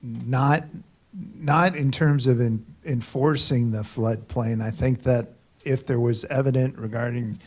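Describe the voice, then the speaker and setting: A man's voice: mid-range voice, fairly even intonation, a little slow, a little mellow. A man at a county hearing who answers and asks questions carefully, taking pains to word things precisely. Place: county board meeting room.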